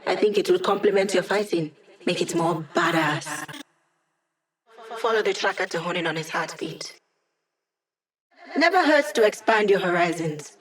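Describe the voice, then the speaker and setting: A woman's voice: on the low side, expressive, natural voice. An actress voicing a witty young female hacker in a video game, delivering sly, teasing quips to her team. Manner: wry, teasing, quick quips. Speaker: hacker support character.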